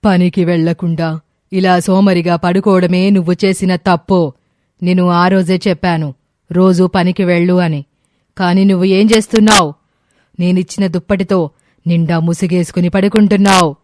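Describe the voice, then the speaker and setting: A stern demoness from a moral tale, acted by a woman. She scolds and commands with menace, keeping the pitch very even. The sound is close and dry. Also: normal speed, no echo, natural voice. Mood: stern, threatening. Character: stern, threatening brahma demoness punishing a lazy man. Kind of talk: animated moral story voice acting.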